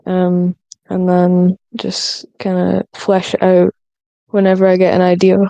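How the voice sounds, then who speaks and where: A woman chats casually and haltingly in an extremely even, monotone voice. It is smooth and mellow, with creak at the edges. She sounds like a writer thinking through her answer. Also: on the low side, no echo. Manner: hesitant, halting. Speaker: script writer on a panel. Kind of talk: casual podcast chat.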